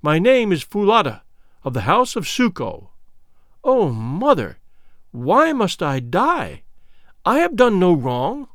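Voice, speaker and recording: A man's voice: high-pitched, huge pitch swings, slow, a bit bright, no echo, studio quality, exaggerated voice. A man voicing an anguished young woman who pleads in a trembling, plaintive, archaic lament. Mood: fearful pleading. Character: doomed young maiden, gentle, anguished, pleading.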